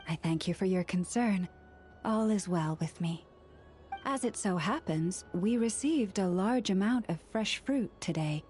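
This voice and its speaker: A woman's voice: mid-range voice, animated, measured pace, darkish tone, lightly acted. A woman as a serene, devout young game heroine. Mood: serene, gracious. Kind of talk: video game voice acting. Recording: music behind the voice.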